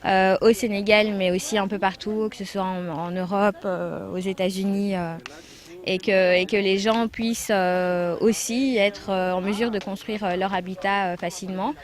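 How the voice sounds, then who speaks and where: A woman answering interview questions about a building project, speaking haltingly with many fillers. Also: mid-range voice, level tone. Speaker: project participant.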